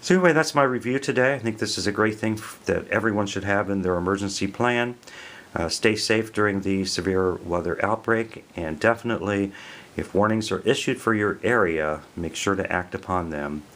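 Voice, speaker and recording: An American man giving a casual product review, explaining things in a plain, unhurried way. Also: lowish voice, a bit bright. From American Midwest.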